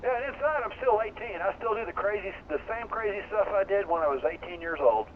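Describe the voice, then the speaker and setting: A man in his seventies, a ham radio operator chatting on a net in an earnest, halting way. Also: high-pitched, expressive. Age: 70s. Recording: tinny two-way radio with faint hiss.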